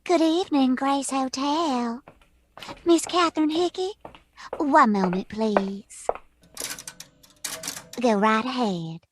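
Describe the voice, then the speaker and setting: An actress playing a coy, excitable hotel desk clerk with a girlish, sing-song breathiness in a radio drama. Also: high-pitched, slow, pauses often, loud music behind the voice.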